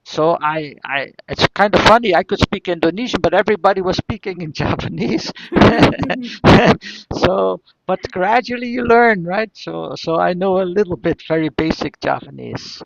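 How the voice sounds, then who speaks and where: High-voiced Flemish man, hesitant academic speaker. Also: moderate pace, creaky. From northern Belgium. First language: Flemish Dutch. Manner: hesitant, repeats words, rhetorical questions. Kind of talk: answering audience questions at an online seminar. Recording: dull lo-fi audio.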